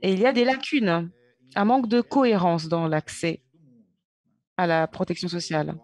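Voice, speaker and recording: A woman giving a simultaneous interpretation of conference speeches, halting, with pauses and self-corrections. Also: fairly low pitch, fairly even intonation, darkish tone, dry recording.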